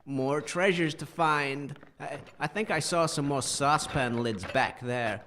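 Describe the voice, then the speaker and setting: A man playing an eccentric, friendly junk-collecting wanderer in a comic audio drama, affable and quietly proud as he rambles. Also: on the high side, unhurried. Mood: helpful, chatty.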